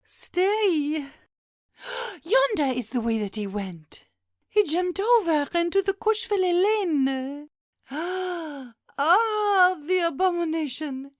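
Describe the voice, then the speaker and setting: Fussy old housekeeper woman in an audiobook drama, really high voice, wailing and drawn out, very dry close sound. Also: lively intonation, no echo, heavily acted. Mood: horrified. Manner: exclamatory, gasping, drawn-out lamenting. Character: fretful, alarmed elderly housekeeper woman, prone to outcry. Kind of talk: dramatised classic audiobook acting.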